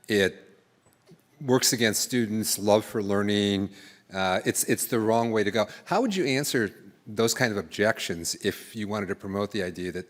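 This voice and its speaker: A male audience member speaks at the microphone in a lecture hall. His voice is low and steady. He lays out his question at length. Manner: articulate, long structured question.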